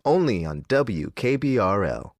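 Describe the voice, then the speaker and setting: A smooth-talking DJ on an eerie game radio station. He is wry and faintly unsettled, with lively swooping intonation and a dry, close studio sound. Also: lowish voice, a little slow, no room sound. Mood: slick, upbeat. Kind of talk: radio DJ patter.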